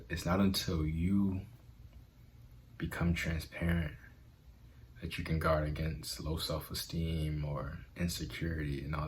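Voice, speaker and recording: A man's voice: low voice, slight background noise. A man vlogging in an earnest, conversational way about self-acceptance, with a stutter. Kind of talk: motivational vlog talk.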